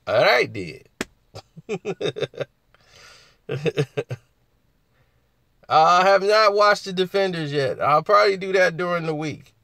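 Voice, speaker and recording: A man with a husky voice hosting a casual live chat, laughing often and answering viewers. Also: high-pitched, lively intonation, slow pace, pauses often, sharp tone, no echo.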